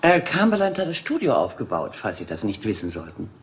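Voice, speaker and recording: An actress playing a poised, gracious aging film star in a radio play. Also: female voice, rumbling low, wildly swooping pitch, brisk, a little hiss or hum, exaggerated voice. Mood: guarded. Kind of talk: German radio play acting.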